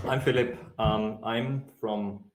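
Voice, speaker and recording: Man, Austrian accent, low voice, relaxed live tech talk, ums and restarts, echoey room. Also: takes it slowly. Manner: relaxed, with frequent ums and self-corrections. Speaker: tech speaker.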